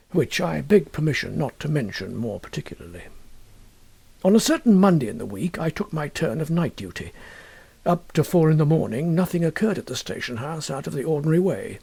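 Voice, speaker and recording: A male audiobook narrator reads with measured, deliberate expression. His voice is fairly high for a man and moves widely in pitch. It has a gravelly, creaky grain. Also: sing-song, darkish tone. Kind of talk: expressive scripted first-person story narration.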